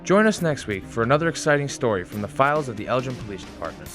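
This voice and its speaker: A man's voice: mid-range voice, big pitch swings, fast talker. A man reading scripted dramatic narration as the narrator of a radio play. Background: music.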